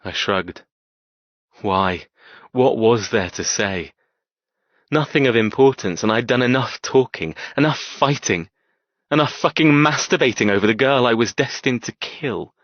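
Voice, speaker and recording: A man narrating a dark romance audiobook in the first person as a cold, brooding, tormented man, terse and intense. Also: medium pitch, a little slow, no echo, highs cut off, lightly acted. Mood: bitter, agitated.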